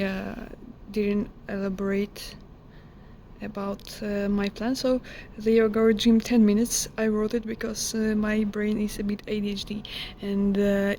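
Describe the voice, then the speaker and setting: Softly monotone Ukrainian-accented young woman. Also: mid-range voice, a little hiss or hum. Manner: candid, rambling, many hesitations. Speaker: vlogger. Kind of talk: casual personal monologue.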